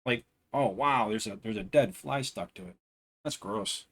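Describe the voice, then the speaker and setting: Rambling man streaming from his desk. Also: fairly bright tone. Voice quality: somewhat deep voice. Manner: rambling, thinking aloud, unhurried. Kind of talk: casual live-stream chat. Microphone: desk microphone.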